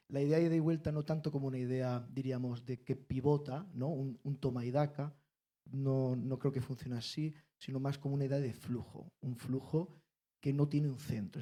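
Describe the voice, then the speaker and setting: A man with a warm, mellow voice of middle pitch speaks at a public panel. His talk is intellectual and lecture-like, and he builds his arguments through emphatic repetition. He comes from the world of flamenco.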